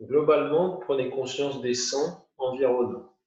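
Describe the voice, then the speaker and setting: A man teaching yoga nidra, guiding a meditation in short, unhurried phrases with pauses. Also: mid-range voice, darkish tone. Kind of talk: guided meditation, spoken instructions. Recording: muffled, roomy, distant from the mic.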